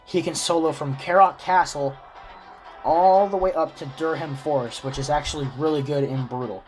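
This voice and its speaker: A man talks through a game guide in a relaxed, chatty way, his voice a bit stuffy and raspy from a lingering cold. He sits a touch higher than most men in pitch. Faint music plays quietly behind him. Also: normal speed, low-quality recording. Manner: explains step by step, relaxed and chatty. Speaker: gaming video creator. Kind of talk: casual game guide commentary.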